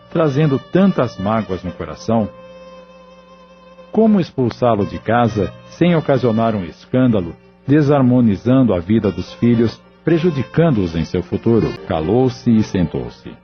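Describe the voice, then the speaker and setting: A man with a low voice narrates an audio novel, telling the story in a measured, somber way. The recording sounds dull and lo-fi, with quiet music underneath. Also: very animated.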